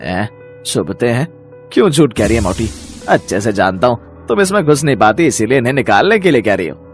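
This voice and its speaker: A man playing an exasperated, scolding man in an animated moral story, reproachful and mocking in manner. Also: medium pitch, a little fast, soft background music. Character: exasperated, scolding men around a greedy teacher.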